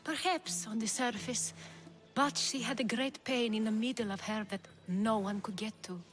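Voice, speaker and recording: A woman's voice: on the high side, fairly even intonation, moderate pace, faint music behind, close to an everyday voice. An actress playing a proud, commanding female leader in a video game, with a poised, dramatic delivery. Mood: reflective. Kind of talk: video game cutscene acting.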